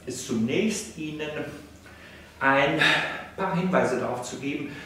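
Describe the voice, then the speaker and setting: Older professor in an echoey room. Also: male voice, medium pitch, a little hiss or hum. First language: German. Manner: formal, rhetorical, with frequent hesitations. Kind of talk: freely spoken video lecture. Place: indoor salon room, seated on a sofa. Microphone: camera at a distance. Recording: very echoey room, distant mic.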